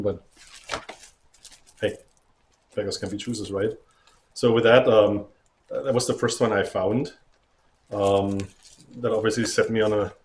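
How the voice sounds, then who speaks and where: A middle-aged man talking casually to camera as a record collector showing his finds, in a halting, rambling way. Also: lowish voice, a little hiss or hum. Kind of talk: casual show-and-tell vlog to camera.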